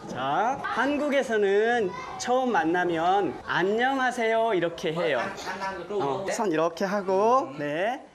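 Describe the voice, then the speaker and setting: A man's voice: high voice, lively intonation, takes it slowly. A man on location in a floating village classroom, playfully teaching children a few words, recorded on a lapel mic. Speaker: travel presenter visiting a school. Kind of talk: casual on-location talk. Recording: on-location with music and crowd noise. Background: children's voices and music.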